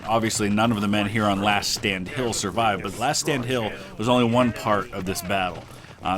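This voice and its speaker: A man with a low voice and a stuffy, congested nose from a sinus infection. He talks in a relaxed, rambling way, full of ums and uhs, as he narrates history over a game. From Ohio, United States. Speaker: gaming channel host. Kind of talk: casual history commentary over gameplay.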